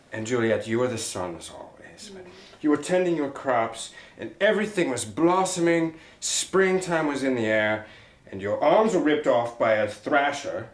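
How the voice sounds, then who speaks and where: A man playing a dreamy, poetic lover, speaking in a soft, florid and solemn manner. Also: mid-range voice, echoey room, natural voice.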